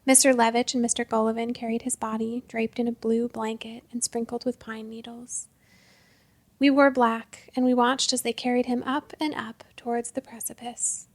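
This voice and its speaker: A woman narrating a literary short story in a measured, expressive storytelling manner. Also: a little high.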